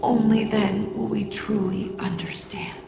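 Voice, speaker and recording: A woman playing a fervent, reverent cult priestess in a video game, theatrical, with a creaky, breathy voice. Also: medium pitch, steady tone, unhurried, echoey room, music in the background. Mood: grave, beseeching. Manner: reverent, theatrical, breathy whispers rising to incantatory cries. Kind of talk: dramatic video game acting.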